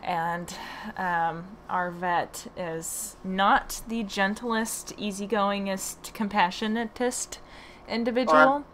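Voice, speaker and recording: A woman's voice: mid-range voice, fairly even intonation, slow pace, a bit bright, a little hiss or hum. A woman hosting a podcast about her horses, talking casually with plenty of hesitant fillers. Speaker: podcast host and horse owner. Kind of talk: casual conversation.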